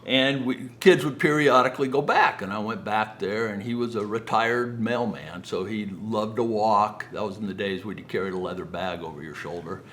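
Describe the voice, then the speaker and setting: A man's voice: on the low side, moderate pace, hardly any pauses, a bit bright, slight background noise. An older American man telling personal stories to an audience in a conversational way, acting out remembered dialogue. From Los Angeles area, United States. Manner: conversational, acts out quoted dialogue. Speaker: guest speaker, business founder.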